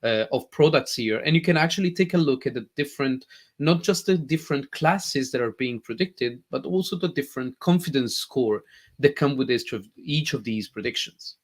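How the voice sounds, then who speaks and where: A man with an Italian accent, a native Italian speaker, eagerly walking viewers through a live software demo as a tech presenter. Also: mid-range voice, normal speed. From Italy. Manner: eager, animated explaining.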